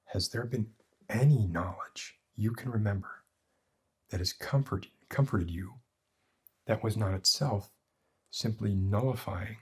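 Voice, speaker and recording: A man playing a morbid, cynical doctor in an ASMR roleplay, lecturing in a pedantic, deliberate and bleak way with a husky voice. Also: exaggerated voice. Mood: dry, cynical. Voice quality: husky, breathy, low. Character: morbid, cynical male doctor treating depression with despair.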